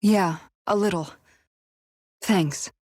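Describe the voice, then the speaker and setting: A woman's voice: medium pitch, very slow, a little mellow, dry recording, clean studio sound. A woman voicing a fierce young captain in a dramatic video game, grieving and betrayed, with raw intensity. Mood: worried.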